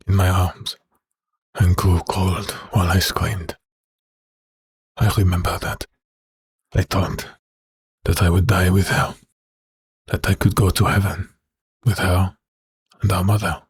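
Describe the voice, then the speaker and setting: Slow, very husky, brooding werewolf. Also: male voice, sits low, little pitch movement, no echo, studio quality, full character voice. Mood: grieving, haunted. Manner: slow, halting, deliberate delivery. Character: huge, brooding male werewolf prisoner, wounded and menacing. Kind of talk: dramatic audio drama acting.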